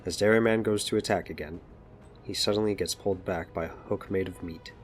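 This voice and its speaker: A man's voice: deep voice, fairly even intonation, brisk, soft background music. A man narrating a scripted audio drama, with ambient noise behind him.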